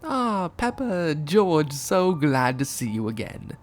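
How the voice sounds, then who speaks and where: A man's voice: fairly high pitch, sing-song, slow pace, slight background noise, pitched above the performer's own voice. Man acting as cartoon potato politician, French accent, pompous, flamboyant, theatrical. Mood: effusive.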